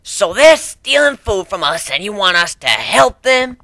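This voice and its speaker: A man voicing a tough, gruff old female cat who leads a tribe in a dramatic story reading, brash and bossy. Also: sits high, very animated, measured pace, bright, forceful tone, no room sound, voice pushed up, exaggerated voice. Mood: incredulous.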